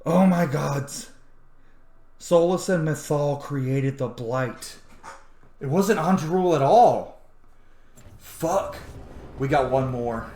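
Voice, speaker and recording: A man's voice: on the high side, slow, long pauses, warm tone. A man doing casual, sweary gameplay reaction commentary as a let's play host, often exclaiming. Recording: roomy echo, clear noise.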